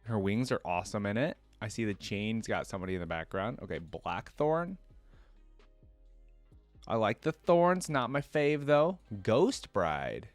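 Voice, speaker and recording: A man's voice: on the low side, big pitch swings, measured pace, no room sound, faint music behind. A man hosting a reaction video, commenting casually and informally, thinking aloud as he browses and reads.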